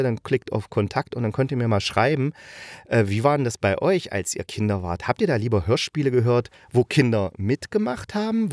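A man's voice: on the low side, a little mellow, no room sound, studio quality. A man hosting a podcast solo, talking casually in a playful, self-ironic, rambling way as he reviews. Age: adult. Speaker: podcast host reviewing audio dramas.

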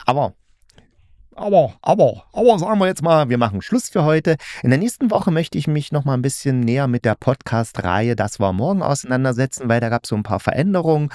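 A man's voice: medium pitch, big pitch swings, brisk, darkish tone, dry recording, clean studio sound. A man talking casually and in a rambling, colloquial way as a podcast host giving a review. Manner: colloquial, rambling, hedged opinions. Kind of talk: casual solo review talk.

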